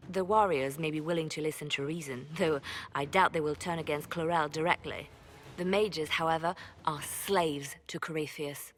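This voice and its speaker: A grave female war adviser in a fantasy game, acted by a woman. Her voice is low and measured, with faint noise behind it. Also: fairly even intonation, natural voice. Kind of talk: dramatic video game acting.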